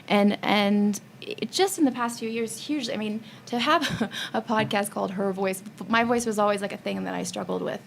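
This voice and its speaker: A woman moderates a roundtable in an earnest, slightly halting manner. Her voice is mid-pitched with pronounced creak, and there is faint room noise behind it. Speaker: podcast host moderating a panel. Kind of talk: conversational panel moderation. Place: live panel discussion at a festival.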